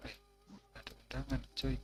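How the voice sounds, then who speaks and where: A man's voice: brisk, a bit bright. An Indonesian man giving casual, slangy gameplay commentary, muttering and grumbling as he plays. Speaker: game streamer. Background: game music.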